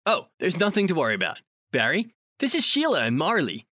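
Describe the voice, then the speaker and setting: An actor playing a chatty, cocky male paranormal investigator in an audio drama, talking in a wordy, animated way. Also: on the high side, fairly quick, no room sound, studio quality, full character voice. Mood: friendly, upbeat. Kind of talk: audio drama dialogue acting.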